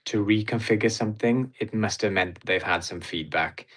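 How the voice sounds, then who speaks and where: A man with a Welsh accent and a fairly low voice talks casually on a podcast. He gives frank, opinionated verdicts and is openly enthusiastic about what he liked. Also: normal speed, a bit bright. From Wales. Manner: blunt, opinionated, enthusiastic reviewer. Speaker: cruise creator guest.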